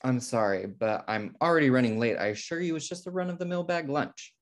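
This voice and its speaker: An uptight, punctual young American schoolteacher, played by a man in a comedy table read. The male voice is mid-pitched, fussy and exasperated. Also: expressive, a little fast, natural voice. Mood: defensive. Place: indoor room, video call.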